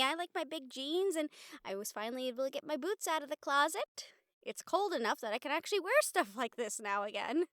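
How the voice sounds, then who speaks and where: A young woman playing a bubbly, encouraging college student in a casual, chatty, expressive roleplay audio drama. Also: high-pitched, dry recording, clean studio sound, full character voice. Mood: excited, delighted. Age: young adult. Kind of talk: roleplay audio drama acting, speaking to listener.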